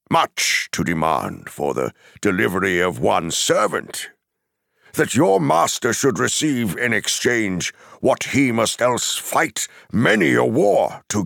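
A man voicing a stern, defiant wizard in a fantasy story, declaiming with forceful, indignant authority. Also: fairly low pitch, creaky, no room sound, clean studio sound, pitched above the performer's own voice, exaggerated voice.

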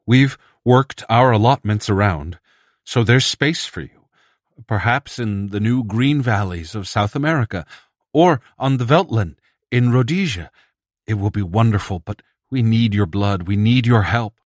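A man's voice: lowish voice, no room sound, clean studio sound, voice pushed up. A man playing a glib, pleading Earth envoy. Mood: persuasive. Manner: quick, pleading and smooth-talking. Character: slick male Earth envoy, glib and persuasive.

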